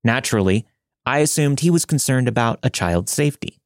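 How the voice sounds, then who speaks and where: A man's voice: medium pitch, lively intonation, fairly quick, dry recording, studio quality. A man hosting a podcast, reading scripted material as a storyteller and narrator. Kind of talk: scripted first-person story narration and host-read promo.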